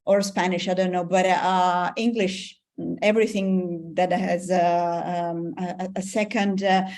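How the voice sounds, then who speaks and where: A woman's voice: lowish voice, flat delivery, unhurried, a little mellow. A woman in her forties with an Italian accent, a guest in a casual interview who speaks hesitantly with many fillers and frequent laughs. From Italy. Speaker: guest, business coach.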